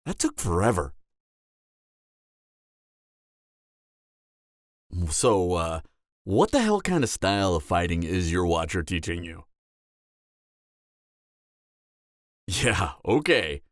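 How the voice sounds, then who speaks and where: A man's voice: deep voice, big pitch swings, normal speed, no room sound, studio quality. A man playing a cocky, flirtatious vampire mentor in an audio roleplay, lecturing in a teasing, sardonic way. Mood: scornful, cocky. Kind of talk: dramatic audio roleplay acting.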